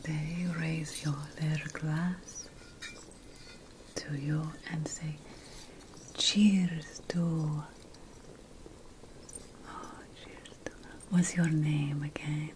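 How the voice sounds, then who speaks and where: ASMR witch roleplay: woman, unvoiced breathy whisper, very unhurried, playful potion-master air, faint background noise. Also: low voice, flat delivery, darkish tone. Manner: slow, deliberate, pausing between phrases.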